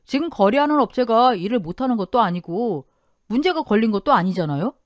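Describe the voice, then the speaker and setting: A woman's voice: a little high, expressive, brisk, no room sound, studio quality, natural voice. A woman voicing a young married man who answers in a cold, pointed way.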